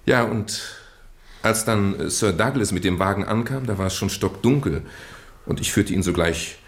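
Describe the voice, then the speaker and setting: A man acting in a German radio drama as a British gentleman nephew, earnestly and haltingly confessing a well-meant deception in genteel, roundabout phrases. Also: fairly low pitch, gravelly. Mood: eager, justifying. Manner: hesitant, roundabout, genteel storytelling with stammered repeats.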